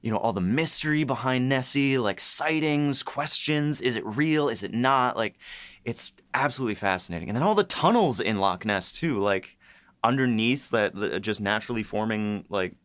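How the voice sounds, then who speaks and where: A man's voice: medium pitch, lively intonation, normal speed, fairly bright tone, dry recording. A man talking casually and enthusiastically as a host and writer in a behind-the-scenes chat. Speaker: podcast host and episode writer.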